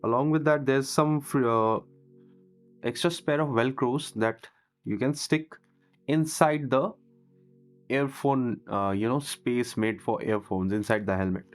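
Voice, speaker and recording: A man's voice: medium pitch, fairly even intonation, unhurried. A man talking through a product unboxing as a vlogger, in a casual reviewing style. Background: music.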